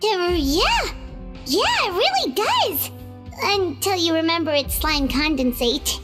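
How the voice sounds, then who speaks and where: A woman voicing a tiny, chatty, skeptical childlike companion sprite in a video game, expressive and exclamatory. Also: very high voice, slow pace, heavily acted. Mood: doubtful, squeamish. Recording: music behind the voice.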